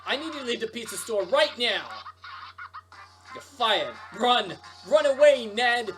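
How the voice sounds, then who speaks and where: A woman's voice: on the high side, expressive, a little slow, over music. A woman voicing a domineering, scolding male pizza store manager in a story. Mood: stern, accusing. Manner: domineering, accusing.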